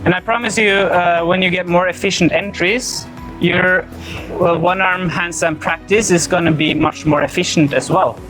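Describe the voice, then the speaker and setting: A man coaching a handstand session, calm and encouraging, explaining each step plainly. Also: high-pitched, moderate pace, over music, poor audio.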